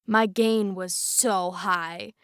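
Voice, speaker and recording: A woman voice actor chatting casually in a cast discussion, animated and self-mocking. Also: mid-range voice, drawn-out words, clean tone, no room sound, clean studio sound. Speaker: voice actor on an audio drama.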